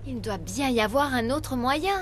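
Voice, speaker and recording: An actress playing an earnest, guilt-ridden young researcher in a dramatic game dub, speaking anxiously. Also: female voice, high voice, expressive, moderate pace, slight background noise. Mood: pleading, earnest.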